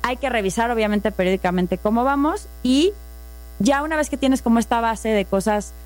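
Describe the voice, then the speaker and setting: A woman's voice: fairly high pitch, normal speed, a little mellow. A woman with a Mexican accent, explaining saving and investing in a patient, methodical chat, walking through worked examples. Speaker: finance guest.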